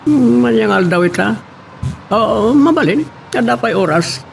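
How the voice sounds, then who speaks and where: A man's voice: sits high, expressive, a little slow, vocal fry, a little hiss or hum, full character voice. A man playing an agreeable, supportive friend in an Ilocano radio drama.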